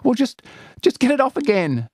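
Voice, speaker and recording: A man voicing a frightened, stubborn young girl trapped in a drain, shrill and pleading. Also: sing-song, moderate pace, no echo, studio quality, exaggerated voice. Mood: panicked.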